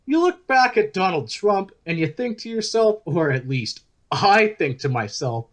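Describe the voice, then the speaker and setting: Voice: medium pitch, very animated, unhurried. An actor playing a glib, sceptical male podcast host in a radio drama, bantering wryly. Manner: wry, bantering.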